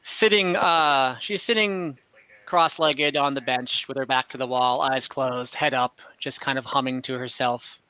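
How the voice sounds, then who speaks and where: A man narrating a tabletop game as game master, conversational and halting as he improvises scenes. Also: mid-range voice, steady tone, bright, forceful tone, clear, resonant tone, no room sound, highs cut off. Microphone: close mic, online call.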